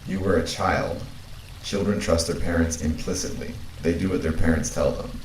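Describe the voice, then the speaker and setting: A man playing a calm, frank companion who reasons in a measured, blunt way, as in an audio drama. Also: low voice, distant mic, natural voice. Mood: concerned, reassuring. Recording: roomy, off-mic, faint background noise.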